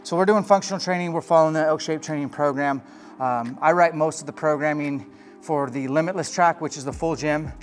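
A man's voice: a little high, brisk, faint music behind. A man in his thirties hosting a casual, enthusiastic tour of his home gym, recorded on a lapel mic indoors. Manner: upbeat, plain-spoken, enthusiastic. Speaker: host.

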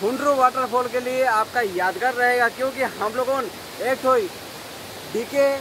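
Excitable man stumbling over words outdoors. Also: very high-pitched, normal speed. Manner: excited, stumbling, keeps apologizing. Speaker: vlogger's friend. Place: outdoors by a waterfall. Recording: loud hiss of falling water.

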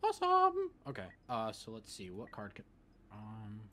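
A man's voice: fairly low pitch, little pitch movement, moderate pace. A man doing casual let's play commentary, offhand and playful, often talking to himself. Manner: offhand, muttering to himself, playful sound effects. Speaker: gaming video host. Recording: game battle noise behind voice.

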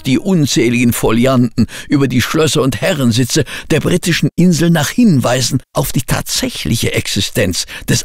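An actor playing a sceptical detective's companion and narrator in an audio drama, expressive and given to grumbling. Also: male voice, medium pitch, creaky, husky, exaggerated voice. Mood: measured, narrating. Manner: expressive, doubting, hesitant asides.

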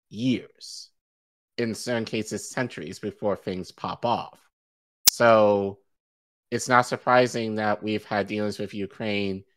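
An American man in his forties giving casual political commentary as a co-host, halting, colloquial and profane. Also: fairly low pitch. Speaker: news show co-host. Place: indoor room at home, video stream.

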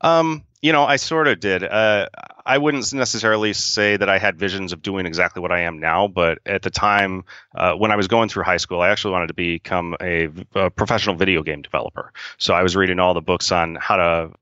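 A man from upstate New York, a guest on a podcast, explaining his software and his background at length with a dry sense of humor. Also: low voice, fairly even intonation, brisk, no room sound, highs cut off. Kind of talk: casual interview answers.

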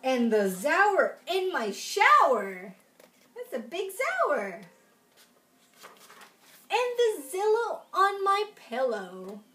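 High, animated young woman reading to children. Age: young adult. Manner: expressive, sing-song, addressing young children. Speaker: children's storytime reader in princess costume. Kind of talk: read-aloud children's storytime with asides. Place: small room at home, indoors.

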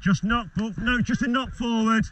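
Loud high-voiced rugby referee. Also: male voice, steady tone, unhurried. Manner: loud commanding calls, apologetic asides. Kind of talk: on-field refereeing calls. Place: outdoor rugby pitch. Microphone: body-worn camera mic. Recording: distant wind-exposed body-cam audio.

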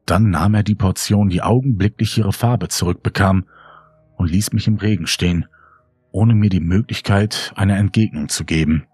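A man reading a horror story as scripted dramatic audiobook narration, grave, measured and brooding. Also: low voice, a little mellow, breathy edge, no room sound. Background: faint music.

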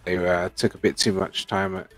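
Low-voiced man in casual gamer chat. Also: level tone, a little fast, fairly bright tone, soft background music. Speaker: roleplay gamer playing a police trainee. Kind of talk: casual chat in online game.

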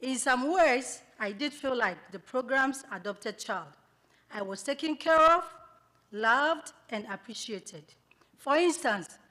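A woman from Ghana whose first language is Twi, reading a prepared graduation speech in a measured, earnest way at a podium microphone in a banquet hall. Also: a little high, a bit bright. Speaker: graduating student speaker. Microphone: podium microphone on a stand, filmed from a far camera.

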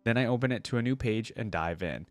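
A man reading aloud as a gentle magical cat narrating a storybook tale, measured and expressive. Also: medium pitch, no room sound, natural voice. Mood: wistful, tender.

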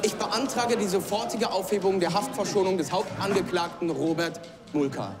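An actor playing a young, determined prosecuting lawyer in a courtroom drama, speaking formally and accusingly. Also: male voice, high voice, moderate pace. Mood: stern, accusing. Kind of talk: period courtroom drama acting. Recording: echoey courtroom film sound with noise.

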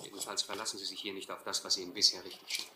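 An actor playing an educated, resigned gentleman castaway in a radio play, articulate, earnest and bitter. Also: low voice, little pitch movement, very fast, lightly acted. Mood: bitter, urgent. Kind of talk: German radio play narration and dialogue. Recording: roomy, faintly noisy radio play recording.

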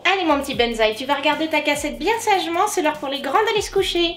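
A woman's voice: high voice, quick pace, fairly bright tone, faint music behind. A woman playing a fussy, doting mother who chides and coos in a comedy sketch. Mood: motherly. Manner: chiding, cooing.

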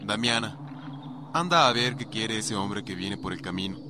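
A man's voice: on the low side, animated, normal speed, noisy background, close to an everyday voice. A man playing a stern, cold landowner in a radio drama, curt and commanding. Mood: stern, defiant.

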